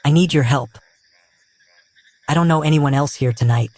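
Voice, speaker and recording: A man's voice: fairly high pitch, moderate pace, darkish tone, a little hiss or hum, natural voice. A man playing a cheeky, slightly awkward young wizard who talks informally in a fan audio drama. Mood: awkward pleading. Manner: informal, conversational.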